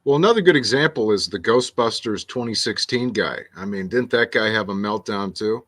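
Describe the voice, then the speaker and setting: A man chatting casually on a live stream, talking in a relaxed, conversational way. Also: lowish voice, a little fast, dry recording.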